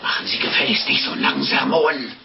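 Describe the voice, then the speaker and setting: An actor playing a blustering, impatient, scheming innkeeper in a 1950s German radio play. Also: male voice, medium pitch, quick pace, gravelly. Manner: blunt, sarcastic, excitable. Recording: muffled, noisy old radio play recording.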